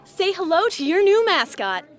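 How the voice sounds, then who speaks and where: Casting: woman as cocky teenage schoolgirl, high voice, very animated delivery, boastful, audio drama. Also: normal speed, faint music behind. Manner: boastful, indignant. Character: brash, self-assured teenage girl in high school.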